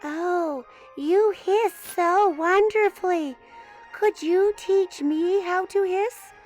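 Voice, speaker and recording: A woman voicing a shy, hopeful young girl snake in a gentle, high, polite voice. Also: slow pace, soft background music. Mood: sweet hopeful.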